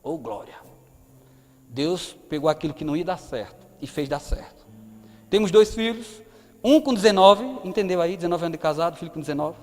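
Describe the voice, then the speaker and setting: A man's voice: a little high, expressive, fairly quick, darkish tone, soft background music. A man in his thirties with a northeastern Brazilian accent, preaching in a conversational style and often asking the audience questions. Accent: Brazilian Portuguese, northeastern. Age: 30s. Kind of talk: sermon. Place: church hall. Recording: long hall reverb.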